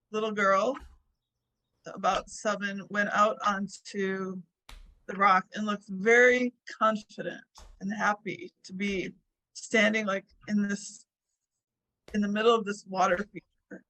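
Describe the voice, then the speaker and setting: A woman with a soft voice, answering as a therapy client on a video call in slow, brief replies. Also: medium pitch, fairly even intonation, poor audio.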